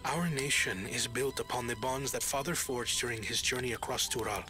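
An actor playing an earnest, bookish young prince in a video game, speaking in a measured, formal, reserved way. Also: male voice, medium pitch, sharp tone, natural voice. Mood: self-reproachful, troubled. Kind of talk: video game cutscene acting. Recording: background music under dialogue.

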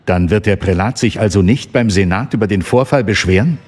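An actor playing a serious, resolute Jedi master, stern and questioning, in a radio drama. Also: male voice, on the low side, brisk, a little mellow, natural voice.

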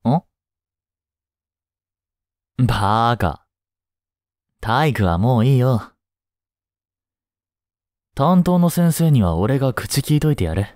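A man playing a kind, easygoing schoolteacher in a voice drama, gently and casually encouraging a student. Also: medium pitch, animated, dry recording, clean studio sound. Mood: light, teasing. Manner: gentle, unhurried, casual, reassuring with long pauses. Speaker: voice drama performer. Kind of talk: Japanese voice drama, one-sided intimate talk to the listener.

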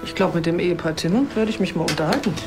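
An actress playing a brisk, matter-of-fact female police investigator questioning people. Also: lowish voice, over music, lightly acted. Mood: businesslike. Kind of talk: naturalistic TV crime drama acting.

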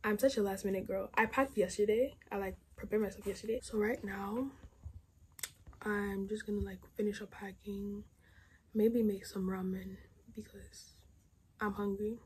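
A woman's voice: mid-range voice, dark tone, slight background noise. A young woman in her teens chatting casually to her phone camera in her bedroom, rambling with lots of "like" as she talks through her plans. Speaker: vlogger. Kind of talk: casual vlog chat to camera. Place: bedroom at home, indoors. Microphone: phone camera at arm's length.

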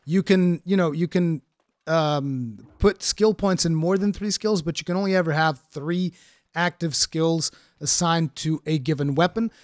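A man's voice: a little high, a little slow, no room sound, studio quality. A man from Portugal with an American-sounding English accent, casually explaining his first impressions of a game in a chatty way with frequent fillers. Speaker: gaming streamer. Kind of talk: casual game review commentary.

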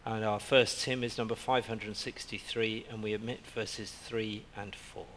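Slow, measured priest in a reverberant church. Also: male voice, lowish voice, little pitch movement, a bit bright. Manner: slow, measured liturgical reading. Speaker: priest leading the service. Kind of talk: liturgical prayers and announcements. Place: church interior with stained glass. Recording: reverberant church captured from afar with faint background hiss.

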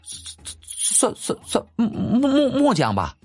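An audiobook character voiced by a man: a poor, timid teenage boy. His pitch is raised and jumps about, with a husky edge. He stammers heavily and hesitates nervously. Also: wildly swooping pitch, takes it slowly, darkish tone, faint music behind, full character voice. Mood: flustered. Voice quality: heavy stutter.

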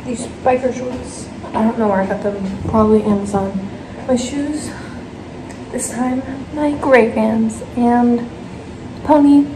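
A woman's voice: fairly high pitch, fairly even intonation, measured pace. A young woman chatting casually and playfully to a handheld camera as she vlogs. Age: young adult. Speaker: vlogger. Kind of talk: casual vlog chat to camera. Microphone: handheld camera at arm's length. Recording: noisy handheld recording, bus and crowd chatter.

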